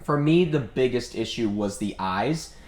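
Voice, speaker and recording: A man co-hosting a casual film discussion, talking in a halting, emphatic and opinionated way. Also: mid-range voice, animated, darkish tone. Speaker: podcast co-host.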